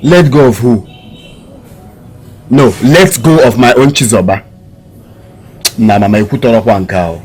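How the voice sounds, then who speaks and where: An actor playing a devout, excitable young son, speaking expressively with a Nigerian Igbo accent and repeating words for emphasis. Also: male voice, medium pitch, normal speed, slightly rough, soft background music. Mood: anxious, pleading. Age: young adult. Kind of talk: emotional film drama acting.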